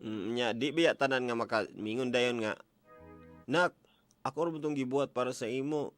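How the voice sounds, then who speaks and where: A man's voice: mid-range voice, normal speed, a bit bright. A man with a Cebuano Filipino accent hosting a radio call-in, chatting with callers and prompting them with questions. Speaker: radio show host taking listener calls. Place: radio studio. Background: music bed.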